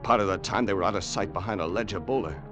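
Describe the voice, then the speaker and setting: An actor playing a loyal, excitable hired gunman with rough grammar in an old-time radio adventure. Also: sits low, fast, lightly acted. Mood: tense narration. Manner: plain-spoken rough grammar, calls his boss "boss". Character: loyal, excitable hired gunman narrating desert treasure hunt. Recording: vintage 1950s radio broadcast with music.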